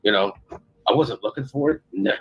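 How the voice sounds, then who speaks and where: A man's voice: lowish voice, normal speed, gravelly, breathy edge, lo-fi sound. A man being interviewed, talking informally and candidly in a blunt, rambling, defensive manner. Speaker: interviewee, a parent.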